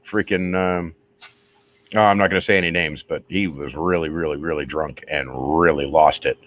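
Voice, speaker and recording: A man's voice: rumbling low, steady tone, moderate pace, a bit bright, slight background noise. A man hosting a livestream, chatting casually in a rambling, easygoing way and often breaking off mid-sentence. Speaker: livestream host. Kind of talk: casual group chat.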